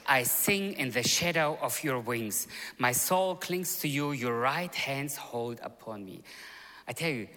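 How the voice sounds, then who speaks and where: A man preaches in a chapel, his voice bright and lively, swinging high and dropping low. He tells stories animatedly and sometimes stops to hunt for an English word. The sound rings with the long reverb of a large hall. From born in Russia, grew up in Germany. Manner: animated storytelling, sudden loud rises and soft drops, searches for words. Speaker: seminary chapel speaker. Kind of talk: sermon.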